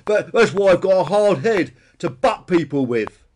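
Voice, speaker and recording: A man voicing a brash, thuggish dinosaur in a children's story, boastful, blunt and loud. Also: high-pitched, lively intonation, moderate pace, a little hiss or hum, voice pushed up. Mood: defiant. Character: brash bullying bonehead dinosaur, thuggish, boastful and thick-skulled.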